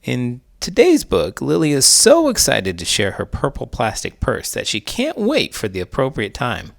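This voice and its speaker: A man reading a children's storybook aloud in a theatrical, expressive way, full of big emotion. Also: mid-range voice, normal speed, creaky, no room sound, studio quality. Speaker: host, children's book reader. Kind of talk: animated storybook read-aloud.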